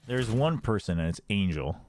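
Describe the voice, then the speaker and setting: A man's voice: lowish voice, expressive, moderate pace, a little mellow, slight background noise. Chatty laughing streamer man. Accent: slight non-native accent in Korean. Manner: laughs often, chatty with chat, switches into Korean. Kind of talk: casual livestream chat.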